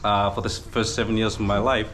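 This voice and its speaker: A man's voice: fairly low pitch, flat delivery, quick pace, a bit bright, a little hiss or hum. A man in his twenties with a Danish accent tinged with Fijian, answering viewer questions hesitantly in a casual chat, with many fillers. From Denmark. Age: 20s. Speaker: vlogger. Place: village gathering in Fiji.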